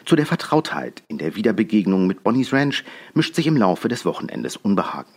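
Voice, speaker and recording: Male essayist from Berlin, very low voice with lively melody, measured reflective read. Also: breathy edge. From Berlin, Germany. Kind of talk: scripted first-person essay narration.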